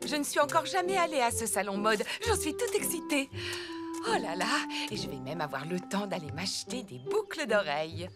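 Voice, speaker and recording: Woman playing an expressive, lively cartoon mother. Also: mid-range voice, moderate pace, natural voice. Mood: delighted. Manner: warm, expressive, slightly self-deprecating. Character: gentle, modest, harried elephant mother. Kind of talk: French children's cartoon acting. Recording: music behind the voice.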